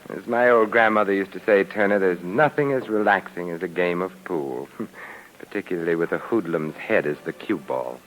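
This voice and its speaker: A man playing a suave, sardonic gentleman adventurer in a 1950s radio detective drama, urbane and coolly confident, with dry, mocking wit. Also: low voice, moderate pace, heavy background noise, close to an everyday voice. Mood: mocking, menacing. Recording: vintage 1950s radio recording.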